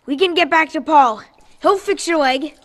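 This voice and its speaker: Woman voicing a stubborn kid survivor boy, high voice, blunt and pushy, earnest, radio drama. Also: moderate pace, fairly bright tone. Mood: urgent, insistent. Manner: urgent, insistent, blunt. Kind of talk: post-apocalyptic audio drama acting.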